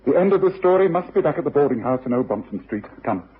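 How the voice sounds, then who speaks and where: An actor playing a confident, analytical Victorian detective in a radio drama, speaking with precise authority. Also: male voice, on the high side, sing-song, quick pace, heavy background noise. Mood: brisk, commanding. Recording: muffled phone-like old radio recording.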